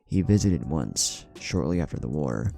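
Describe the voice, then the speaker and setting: A man voicing a gruff but kindly, dutiful ninja guard in a story, talking in an earnest, conversational way. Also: rumbling low, sing-song, moderate pace, mellow, faint music behind, heavily acted. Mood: earnest, informative.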